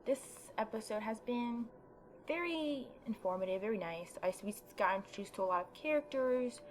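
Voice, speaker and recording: A woman's voice: on the high side, animated, normal speed, a little hiss or hum, low-quality recording. A woman hosting a casual let's play, giving informal, opinionated commentary. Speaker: gaming video host.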